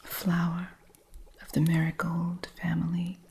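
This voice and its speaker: Soft-spoken woman, low whispered delivery with a gravelly grain, unhurried, gently instructive with a playful strictness. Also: monotone, slight background noise. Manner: gentle, teaching, teasingly strict with an apprentice. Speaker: ASMR artist. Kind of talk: whispered ASMR roleplay as a witch shopkeeper.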